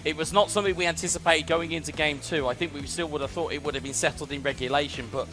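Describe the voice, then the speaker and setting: A man's voice: a little high, fairly even intonation, quick pace, music in the background. A man with an English accent giving fluent, upbeat play-by-play commentary on an esports football match, often speaking to the viewers directly. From England.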